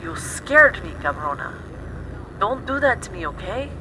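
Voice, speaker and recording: A woman's voice: medium pitch, sing-song, measured pace. A woman playing a caring, teasing and bossy female mechanic friend in an audio drama. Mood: firm, caring. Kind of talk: audio drama dialogue over a call. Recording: clear vehicle and street noise behind.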